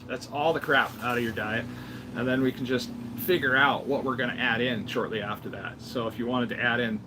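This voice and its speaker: A man's voice: mid-range voice, a bit bright, slight background noise. A man in his fifties talking casually and unscripted as a health vlogger, in a plain-spoken, rambling, conversational way. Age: 50s. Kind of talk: casual unscripted vlog talk.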